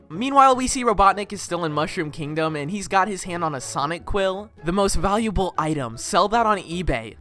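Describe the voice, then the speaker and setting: A YouTuber giving a casual, excitable film review in informal slang, with playful mock outrage and exclamations. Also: medium pitch. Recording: voice over background music. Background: music.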